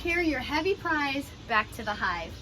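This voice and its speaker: Female teacher for children's read-aloud: higher-pitched, crisp and bright, instructive and expressive, slight background noise. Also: normal speed. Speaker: teacher reading a picture book aloud. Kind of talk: children's storybook read-aloud with explanatory asides.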